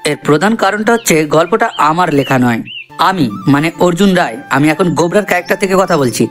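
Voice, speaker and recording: A man's voice: high-pitched, expressive, fast talker, a bit bright. A man voicing an earnest, chatty cartoon character, explaining things to viewers. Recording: voice over background music.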